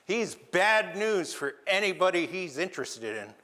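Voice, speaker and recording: A man's voice: high-pitched, sing-song, a little slow, bright, forceful tone, heavily acted. A man voicing a cocky, scheming male crab, spoken in a plain, matter-of-fact way. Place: longhouse.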